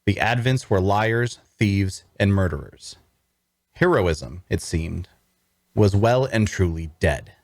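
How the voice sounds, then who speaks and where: The disgusted loner muttering his account from a tavern corner in a fantasy audio drama, low and dryly recorded. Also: expressive, takes it slowly, darkish tone, no room sound, studio quality, full character voice. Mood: bitter, grim. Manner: scornful, sardonic. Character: bitter, disillusioned male onlooker narrating his story. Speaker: actor at a table read. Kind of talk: first-person dramatic narration.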